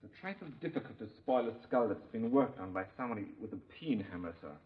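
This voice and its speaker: An actor playing a dry, pleasant police doctor in a 1950s radio drama, wry and unhurried. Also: male voice, mid-range voice, lively intonation, close to an everyday voice. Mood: dryly amused. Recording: thin, tinny old radio recording.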